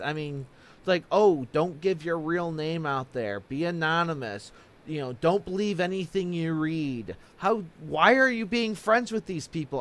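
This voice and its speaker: Higher-pitched man, casual rambling interviewer. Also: fairly bright tone. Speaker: interviewer and online video creator.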